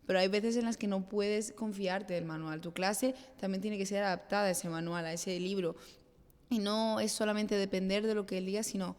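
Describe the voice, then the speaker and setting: A woman's voice: medium pitch, steady tone, moderate pace, a little mellow. A woman speaking as a podcast guest in a conversational interview, explaining her points and acting out little bits of self-talk. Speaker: guest, children's pastor. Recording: echoey hall-like reverb.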